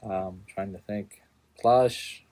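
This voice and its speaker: A man, a shop owner talking casually in an interview, halting as he searches for words. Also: on the low side, steady tone, mellow. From Southern California, United States. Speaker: toy store owner being interviewed.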